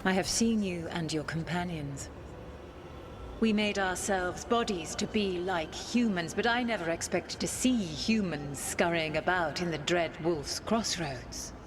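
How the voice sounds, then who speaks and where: Actress as ancient goddess, female, low-pitched, imperious, bitter, stern formal diction, fantasy game, noisy ambience behind. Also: a little slow, lightly acted. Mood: cold, bitter. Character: proud, embittered ancient goddess fragment, female, testing a mortal petitioner. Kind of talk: dramatic fantasy video game dialogue.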